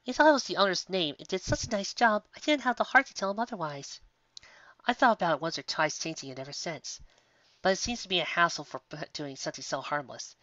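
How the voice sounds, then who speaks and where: A woman's voice: lowish voice, animated, fast talker, fairly bright tone, dry recording, pitched above the performer's own voice. Woman doing a sweet motherly pony shopkeeper. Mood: chatty. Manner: sweet, doting, calls everyone dear. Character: gentle motherly mare candy-shop owner. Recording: slightly muffled recording.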